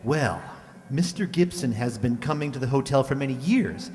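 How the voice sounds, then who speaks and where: An actor playing a polite, gossipy hotel employee in a radio play, talking in a measured, informative way. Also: male voice, mid-range voice, animated, a little mellow, close to an everyday voice. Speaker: amateur radio drama actor. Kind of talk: old-time radio play dialogue. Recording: echoey hall, long reverb.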